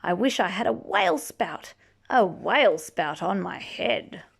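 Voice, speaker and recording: Female storybook reader, playful and very lively in pitch, slow-paced with some creak, stretching words for young listeners. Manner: playful, drawn-out emphasis. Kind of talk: animated children's read-aloud with asides.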